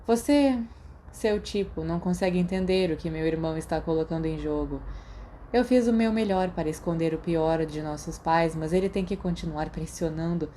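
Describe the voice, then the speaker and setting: A woman plays a haughty younger schoolboy from a noble family in a storybook reading. The Brazilian-accented voice is cold and guarded, pitched a little low for a woman. Clear noise sits behind it. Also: normal speed, dark tone, lightly acted. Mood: bitter. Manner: cold, imperious, suspicious. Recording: noticeable background noise.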